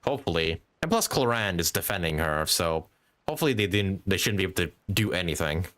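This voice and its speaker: A man's voice: sits low, very animated, brisk, fairly bright tone. A man giving casual live game commentary in a hesitant, rambling, chuckly way. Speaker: live streamer playing a game.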